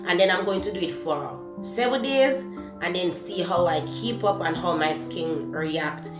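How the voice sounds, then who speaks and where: A woman's voice: on the low side, measured pace. Candid Jamaican woman vlogging from her kitchen. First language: Jamaican Patois. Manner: candid, searching for words, self-correcting. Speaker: beauty vlogger. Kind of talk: casual talk-to-camera beauty vlog. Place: home kitchen, indoors. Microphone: camera close to the face. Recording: echoey kitchen with background music.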